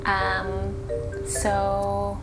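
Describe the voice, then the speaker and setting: A woman from Hawaii talks casually as an interview guest, filling her answers with little hedges and poking fun at herself. She is recorded in a tea room, and music plays clearly behind her. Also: medium pitch, monotone, drawn-out words, low-quality recording. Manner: chatty and self-deprecating, with hedges. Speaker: guest, small business owner.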